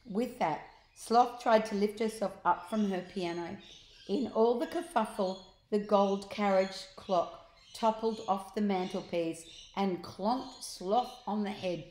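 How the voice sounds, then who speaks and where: A woman in her sixties reading a children's story aloud expressively and deliberately, with asides to young listeners. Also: mid-range voice, darkish tone. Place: large open living room, indoors. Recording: roomy, echoing living room.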